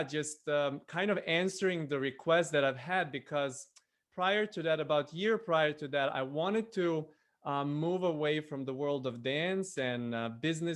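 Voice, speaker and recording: A man whose first language is Serbian, telling his own story in casual chat on a video call, hesitant with many fillers. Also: fairly high pitch. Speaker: presenter on a spiritual show. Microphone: computer mic on a video call.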